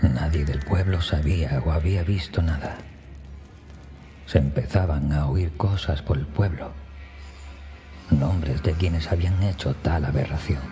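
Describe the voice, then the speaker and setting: A man narrating a scripted first-person horror story in a hushed, breathy manner that often nears a whisper, with music behind him. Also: rumbling low, measured pace. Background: background music.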